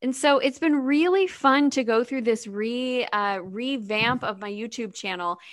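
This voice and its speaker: A woman's voice: fairly high pitch, unhurried, no echo, clean studio sound. A woman hosting a podcast, telling a story about her own work with lots of "like" and acted-out inner thoughts. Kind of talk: casual podcast chat.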